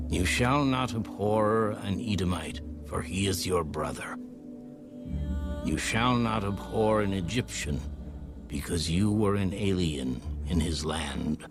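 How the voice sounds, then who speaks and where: A man reading scripture as scripted audiobook narration in a measured, solemn manner. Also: sits low. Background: background music.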